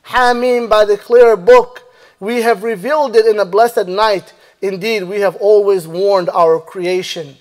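A man in his thirties preaches a Friday sermon from the mosque pulpit with a high, clear voice. He speaks into a stand microphone in a measured preaching cadence. The long reverb of the prayer hall trails each phrase. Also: fairly even intonation, a little mellow. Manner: measured preaching, recites Arabic verses rapidly then translates slowly. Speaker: imam. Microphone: stand mic and lapel mic.

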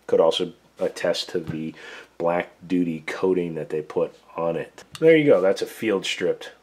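Hoarse male host, very low voice, plain-spoken workbench demo. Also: a little mellow. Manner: plain-spoken, step-by-step instructions. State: losing his voice, hoarse. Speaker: gun reviewer, show host. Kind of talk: casual tabletop demo. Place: indoor workbench.

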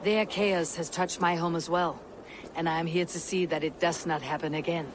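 An actor playing a steely Viking warrior in a video game, with a blunt, dry, confident manner. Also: male voice, a little high, fairly even intonation, moderate pace, background noise, close to an everyday voice. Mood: earnest. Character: steely, taunting Viking warrior, protective of clan. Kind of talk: video game cutscene acting. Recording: music behind the voice.

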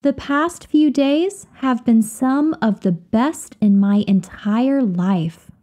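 A woman reading example sentences slowly and carefully, repeating each phrase for learners to copy. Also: a little high, warm tone. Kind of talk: slow, clear drill reading of example phrases.

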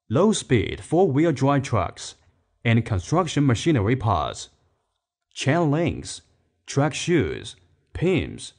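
A man delivers scripted promotional narration as a corporate presenter. His voice sits at an average pitch and moves with animated intonation. The reading is formal and measured, laid out item by item.

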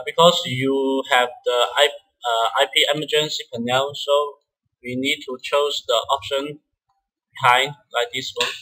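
A man who is a non-native English speaker presents a step-by-step software tutorial in a halting way, often repeating words. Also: mid-range voice, low-quality recording. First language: not English.

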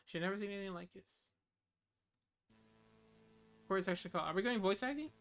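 A woman's voice: medium pitch, brisk. A young woman streamer giving casual reaction commentary and reading captions aloud.

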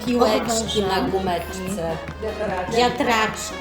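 An older woman reminisces in a hesitant way, at an ordinary pitch. Music plays clearly behind her. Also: very slow, over a noisy crowd. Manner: hesitant, searching for words. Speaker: senior sharing memories. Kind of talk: casual spoken reminiscence.

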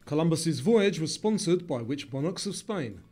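A man reading scripted quiz questions and answers in a measured way, as the narrator of a trivia video. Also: fairly high pitch, lively intonation, dark tone, soft background music.

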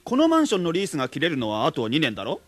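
A male actor playing a jokey, excitable salaryman in a Japanese radio comedy drama, given to clowning and puns. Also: on the high side, rapid-fire, slightly rough, dry recording, full character voice. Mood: homely, relaxed. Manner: clowning, breaks into puns and bits of song. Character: jokey, excitable salaryman, cheerful punster and father.

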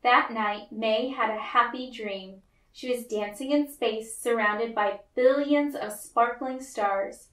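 A woman reading a picture book aloud to children, with deliberate, expressive storytelling narration. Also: fairly high pitch. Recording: roomy, echoey, distant mic.

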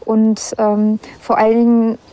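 A young female archaeologist speaks in an interview. Her voice is fairly high and very mellow, and she explains as she goes. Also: completely flat delivery, a little slow, low-quality recording. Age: young adult. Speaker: district archaeologist being interviewed.

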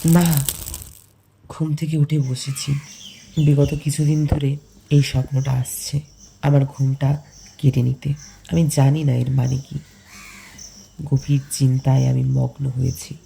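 A man acting as a young villager in love, narrating a tragic cartoon romance. His delivery is slow and pensive, with a mellow, gravelly edge. Light music plays behind him. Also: mid-range voice, flat delivery, slight background noise, low-quality recording. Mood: pensive, sorrowful. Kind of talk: scripted first-person story narration with dialogue.

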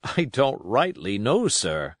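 A man's voice: lowish voice, wildly swooping pitch, slow pace, no echo, clean studio sound, full character voice. Man doing a sheepish, evasive old sailor. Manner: hesitant, deferential. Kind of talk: audiobook character voice.

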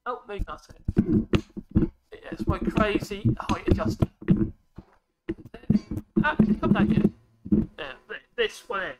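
A man, chatting casually on a live stream from his workshop, a bit husky today and prone to rambling and correcting himself. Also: animated, slow, long pauses, heavy background noise. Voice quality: husky at the moment, fairly high voice. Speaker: woodworking channel host. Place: cluttered home workshop, indoors. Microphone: cheap USB desk microphone. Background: air compressor running at times.

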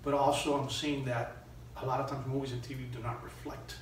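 A middle-aged man from Miami speaks as an interview guest in a halting, conversational way. The recording is very echoey and picked up from a distance, with faint noise. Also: mid-range voice, flat delivery. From Miami, United States. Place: small indoor room.

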